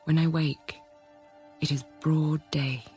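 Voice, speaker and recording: Young governess, low voice, measured, introspective, literary radio drama, music underneath. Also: female voice, flat delivery, dark tone, husky, heavily acted. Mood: quietly observant. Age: young adult. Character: young English governess, plain, restless, self-controlled, reflective. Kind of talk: radio drama, first-person literary narration and dialogue. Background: background music.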